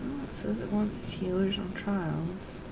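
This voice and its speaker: A woman with a creaky voice live-streaming a game, speaking casually as she plays. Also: medium pitch, unhurried, background noise. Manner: thinks aloud in short fragments. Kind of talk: live game stream, reading quest dialogue aloud and muttering casually.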